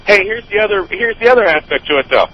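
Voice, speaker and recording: Brief: male caller, 30s, from Lebanon, rapid and persistent, slightly high voice. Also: expressive, husky, lo-fi sound. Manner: fast, persistent arguer. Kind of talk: call-in phone conversation.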